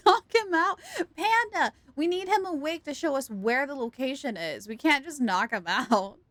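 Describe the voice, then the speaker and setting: A woman livestreaming a game with casual, playful, expressive commentary for her viewers. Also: high voice, measured pace. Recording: game music behind the voice.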